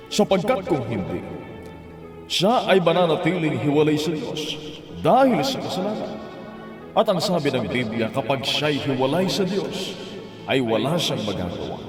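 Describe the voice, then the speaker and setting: Man acting earnest preacher, solemn sermon, highish voice, long hall reverb, emphatic. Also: very animated, normal speed. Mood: solemn, warning. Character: earnest preacher warning sinners and urging salvation. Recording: echoey hall reverb, loud music behind.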